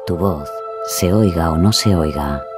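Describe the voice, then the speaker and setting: Very deep-voiced male narrator over music. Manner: measured, dramatic, intimate direct address. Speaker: podcast host and narrator. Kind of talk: scripted atmospheric narration.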